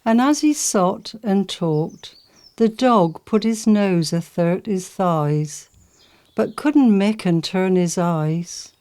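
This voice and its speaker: A woman's voice: mid-range voice, expressive, takes it slowly, darkish tone, smooth. A woman reciting dialect poetry. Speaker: poetry reader.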